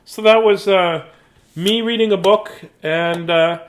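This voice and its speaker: A man's voice: sits high, slow. A man hosting a live bedtime reading, chatting casually in a rambling, self-deprecating way. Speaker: bedtime story reader and host. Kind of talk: casual live chat to viewers.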